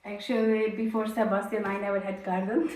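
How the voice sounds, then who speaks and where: A woman's voice: medium pitch, little pitch movement, brisk, darkish tone, echoey room, far from the mic. A woman from Turkey, a native Turkish speaker, co-hosting a farm vlog in casual chat, laughing often and speaking in simple phrases. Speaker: channel co-host.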